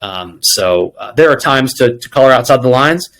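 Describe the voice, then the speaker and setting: A man's voice: mid-range voice, lively intonation, normal speed, fairly bright tone. Man telling reflective workplace anecdotes. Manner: reflective storytelling, quoting dialogue. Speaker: interview guest, people executive. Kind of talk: conversational interview answers with anecdotes.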